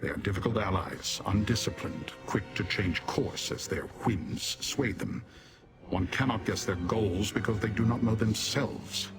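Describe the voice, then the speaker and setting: A man voicing a proud, stern Dremora demon warrior in a fantasy video game, speaking formally and with measured disdain. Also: fairly low pitch, over music. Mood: impatient disdain. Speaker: non-player character in fantasy game. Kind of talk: scripted video game dialogue.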